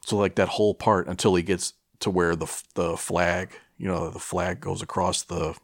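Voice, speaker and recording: A middle-aged American man chatting casually as a podcast co-host, telling stories in a rambling, halting, profane way. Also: low-pitched, level tone, no room sound, studio quality. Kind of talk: casual chat, rambling storytelling.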